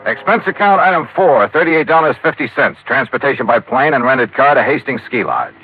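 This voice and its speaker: A tough, wry American private investigator in an old radio drama. His delivery is clipped and dry, swinging freely in pitch as he tells his case. It sounds like a tinny vintage broadcast. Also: sing-song, brisk, husky. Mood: dry, matter-of-fact. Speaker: lead actor and narrator. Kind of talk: 1950s radio detective drama acting and narration.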